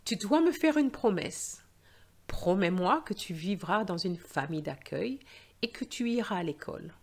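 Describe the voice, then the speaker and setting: A woman voicing a kindly old Father Christmas in a storybook, gently and earnestly giving fatherly advice. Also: medium pitch, animated, moderate pace. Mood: earnest, caring. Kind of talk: storybook read-aloud dialogue.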